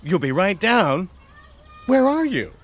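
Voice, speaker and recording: An actor playing a hasty, excitable space agent in a theatrical, animated way for a sci-fi audio drama. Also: mid-range voice, takes it slowly, full character voice. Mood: hurried.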